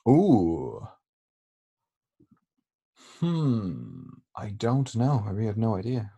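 A man's voice: lowish voice, huge pitch swings, takes it slowly, vocal fry, warm tone, slightly rough. A man answering quiz questions in casual chat, hesitant and thinking aloud with fillers. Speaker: quiz contestant.

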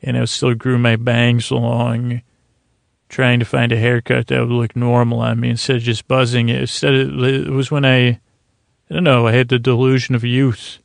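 A man hosting a podcast, rambling in a halting, meandering, self-deprecating way with a gravelly voice, as a bedtime monologue. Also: mid-range voice, little pitch movement, dry recording. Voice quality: gravelly, creaky. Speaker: podcast host.

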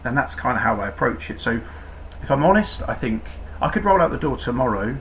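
A man's voice: mid-range voice, very animated, fairly quick, a bit bright, slight background noise, rough recording. A man from Cornwall, a podcast guest talking casually about cycling in a rambling, self-deprecating way with many restarts. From Cornwall, England. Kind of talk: casual interview chat.

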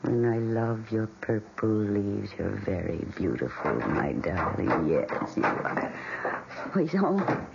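An actress playing a sweet, doting young wife in an old screen drama, gushing and breathy, sometimes dropping to a whisper. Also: female voice, low voice, animated, normal speed. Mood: cooing. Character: sweet, doting young wife who talks to her houseplants. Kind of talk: vintage screen drama acting. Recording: dull, noisy old film soundtrack.

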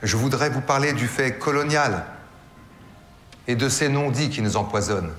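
A man's voice: medium pitch, fairly bright tone, faint music behind, close to an everyday voice. A man playing a solemn politician speaking. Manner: solemn, measured oratory. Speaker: actor playing a politician giving a speech. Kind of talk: formal address, drama clip. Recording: roomy reverb with faint ambience.

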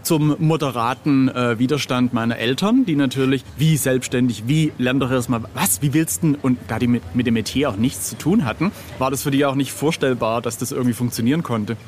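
A man's voice: mid-range voice, fairly quick, hardly any pauses, a little mellow. A man in his late forties from southern Germany telling anecdotes casually as an interview guest, quoting others in direct speech. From southern Germany (Swabia). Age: late 40s. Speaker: interview guest, freelance illustrator and cover artist. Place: outside at a street café in a city. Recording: ambient noise of an outdoor café.

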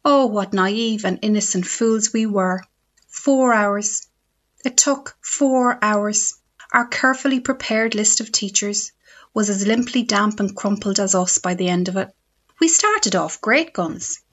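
A woman's voice: medium pitch, unhurried, lo-fi sound. An Irish woman reading her own humorous radio column in a wry, self-mocking storytelling manner. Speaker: radio essayist.

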